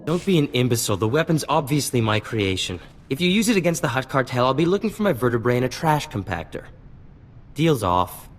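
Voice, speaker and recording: Snooty professor with swooping delivery. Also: male voice, mid-range voice, sing-song, brisk. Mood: exasperated. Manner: haughty, wordy, theatrical. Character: snooty, exasperated male professor and weapon inventor. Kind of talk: cinematic video game dialogue. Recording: hall reverb, faint music behind.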